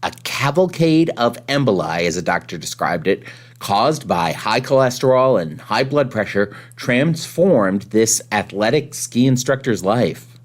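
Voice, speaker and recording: A man's voice: fairly low pitch, animated, measured pace. A middle-aged man, hosting a podcast with scripted introductions and conversational interviewing. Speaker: podcast host.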